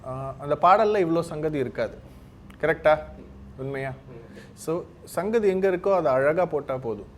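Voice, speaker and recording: A man giving encouraging, informal feedback as a singing judge, mixing in English words. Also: a little high. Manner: encouraging, gives gentle tips; mixes English words into Tamil. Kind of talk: informal spoken feedback to contestants. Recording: clearly noisy room ambience.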